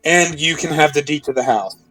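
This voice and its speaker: A man improvises dialogue in a tabletop game, earnest and pleading. His voice sits a bit high. It is slightly distant from the mic, with soft music behind. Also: fairly quick. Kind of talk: in-character improvised roleplay dialogue.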